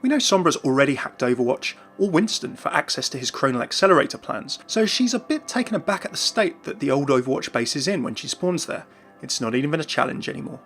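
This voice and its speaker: Man, scripted video commentary, fairly high voice, fast pace, faint music underneath. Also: big pitch swings, vocal fry, fairly bright tone. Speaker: YouTube video narrator.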